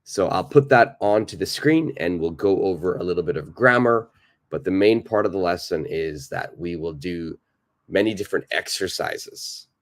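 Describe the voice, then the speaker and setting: A man with a very low voice, hoarse and raspy from a cold, teaches a live online English lesson. He greets viewers by name and repeats each grammar point patiently. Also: normal speed.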